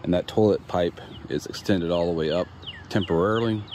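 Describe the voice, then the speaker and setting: A man with a very deep voice gives a relaxed, plain-spoken tour of his construction site, stopping often for ums and restarts. It was recorded outdoors on a handheld phone. Steady outdoor noise sits clearly behind him. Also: fairly quick. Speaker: homeowner showing his build. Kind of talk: casual walkthrough narration. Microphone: phone camera held while walking.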